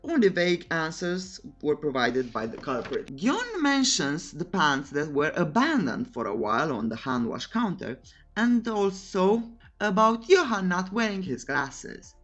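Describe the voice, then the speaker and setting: Cheeky woman narrator, very lively pitch. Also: unhurried, dull audio, soft background music. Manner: cheeky, tongue-in-cheek, coy euphemisms. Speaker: recap channel narrator. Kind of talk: scripted story recap narration with playful asides.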